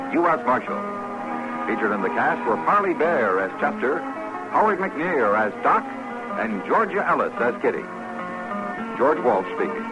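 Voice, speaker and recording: A man's voice: mid-range voice, low-quality recording. An American man announcing in a formal, declarative 1950s radio style. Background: loud music.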